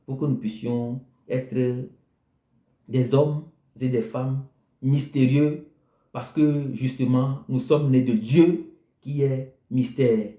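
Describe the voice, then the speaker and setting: A man's voice: mid-range voice, steady tone, warm tone. A man delivering a sermon, emphatic and repetitive in his phrasing. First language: Ewe. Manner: slow, emphatic, repeating phrases. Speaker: preacher. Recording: echoey room.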